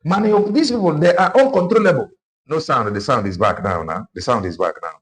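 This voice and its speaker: A man's voice: medium pitch, animated, brisk. A man giving political commentary on a livestream, emphatic and repeating his key phrases as he switches between languages.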